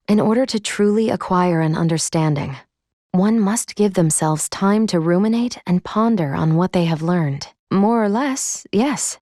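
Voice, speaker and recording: A woman voicing a polite, analytical female android in a video game, with formal, measured diction and a caring, fussy manner. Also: medium pitch, darkish tone, no room sound, studio quality, lightly acted.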